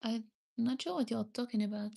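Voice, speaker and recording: Woman, young adult, live streamer, gravelly fry, fairly high voice, hesitant, full of ums. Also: a little fast, warm tone. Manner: chatty, thanks viewers often, hesitant with many ums. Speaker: livestreamer. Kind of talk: casual live stream chat, answering viewer comments.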